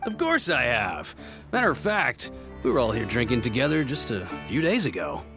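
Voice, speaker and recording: An actor playing a sly, mocking, falsely friendly tavern schemer with a theatrical, taunting manner in a video game. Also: male voice, medium pitch, very animated, normal speed, over music. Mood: jovial. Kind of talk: anime-style video game voice acting.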